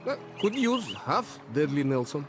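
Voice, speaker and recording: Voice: mid-range voice, big pitch swings, a little slow. An actor voicing a blunt, menacing young wrestler in a cartoon video game, with a Russian accent and clipped broken English. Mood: deadpan menace. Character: blunt menacing foreign boy wrestler at summer camp. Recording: loud game music behind.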